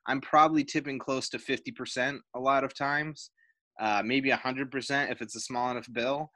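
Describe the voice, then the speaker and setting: A man hosting a casual sports podcast, self-mocking and awkward, talking fast in bursts. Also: medium pitch, fairly even intonation, pushed and bright, dry recording. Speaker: podcast host. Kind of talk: casual chat.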